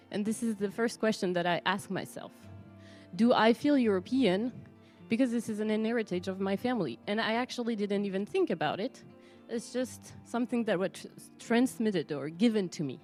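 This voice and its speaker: A woman's voice: mid-range voice, darkish tone. A woman from Paris with a French accent, speaking a reflective personal monologue and asking herself questions aloud. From Paris, France. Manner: thoughtful, asks herself questions.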